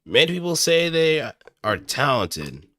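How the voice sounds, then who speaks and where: A man's voice: fairly high pitch, sing-song, a little slow, fairly bright tone, no echo. A man chatting casually to viewers on a close microphone, thinking aloud in a hesitant, rambling way. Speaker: aspiring amateur voice actor. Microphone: close studio microphone, dry.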